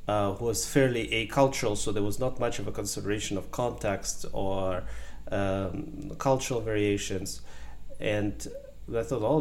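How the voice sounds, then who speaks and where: A man's voice: lowish voice, vocal fry. A man from Ukraine, a psychology professor, giving thoughtful, hesitant interview answers. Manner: thoughtful, hesitant, searching for words.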